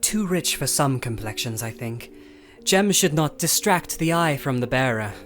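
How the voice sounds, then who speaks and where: A woman's voice: rumbling low, lively intonation, measured pace, pitched below the performer's own voice. A woman voicing a shy, courteous young male pony artist in a storybook tale, hesitant and formal in manner. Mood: reserved, admiring. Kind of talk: storybook character acting.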